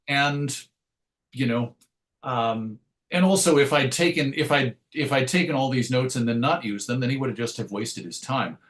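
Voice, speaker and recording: A man speaks as the guest in an interview, in a middle-pitched voice. He talks conversationally and thoughtfully, working through his explanations and often reaching for an analogy. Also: normal speed, fairly bright tone. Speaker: interview guest, writer and radio host.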